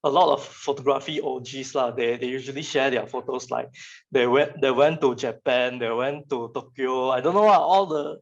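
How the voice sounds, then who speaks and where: A young man with a Malaysian accent and Chinese as his first language gives an informal talk to students as a guest speaker, in a halting, colloquial way that often repeats phrases. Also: medium pitch, vocal fry. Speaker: guest speaker, photographer and digital artist. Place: online virtual session. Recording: muffled, lo-fi online call audio.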